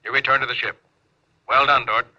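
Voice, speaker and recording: A commanding starship captain in 1950s radio style. He speaks with clipped, deliberate authority, through a dull old broadcast recording. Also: medium pitch, fairly even intonation, sharp tone. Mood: calm command. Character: commanding, pondering male spaceship captain. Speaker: actor in a radio drama.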